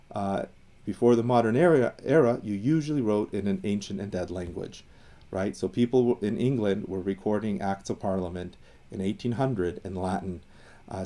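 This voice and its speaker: A man's voice: fairly low pitch, warm tone. A man from Iran explaining language history in casual talk, thinking aloud with fillers and restarts and lingering over the words he defines. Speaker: educator on Assyrian language and identity.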